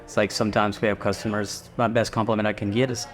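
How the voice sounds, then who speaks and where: A man's voice: on the low side, flat delivery, fast talker. A middle-aged man from Guatemala, a restaurant owner, chatting casually in an interview and rambling a little with fillers and restarts.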